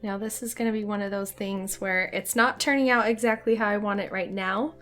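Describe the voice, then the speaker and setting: Young woman kinda hoarse from a cold, chatty paint tutorial, soft music in the back. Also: mid-range voice, fairly even intonation, fairly quick. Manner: friendly, chatty, light laughs. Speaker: watercolor art teacher. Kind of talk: casual painting tutorial narration.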